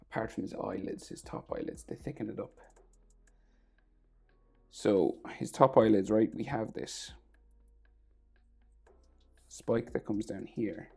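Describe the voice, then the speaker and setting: A man's voice: fairly low pitch, slow, long pauses. Crackly-voiced man with a sore throat. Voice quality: gravelly, crackly. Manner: relaxed, thinking aloud, often trailing off to a near whisper. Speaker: drawing tutor. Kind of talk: casual drawing tutorial voice-over.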